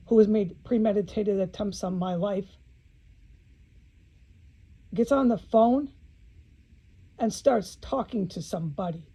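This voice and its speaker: Woman, low-lit mellow tone, raspy edge, informal monologue, emphatic, sweary, aggrieved, repetitive phrasing. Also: poor audio. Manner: blunt, profanity-laden, emphatic, repeating phrases. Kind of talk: informal spoken video monologue to viewers.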